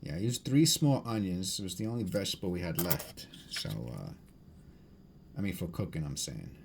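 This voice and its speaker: A man with a low voice talks in a loose, rambling way, as a hobby video host does while busy with his hands. He muses aloud, repeating and correcting himself as he goes. The recording carries a faint layer of noise. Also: lively intonation, moderate pace, vocal fry. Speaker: coin hunting video host. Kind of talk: rambling casual chat while sorting coins.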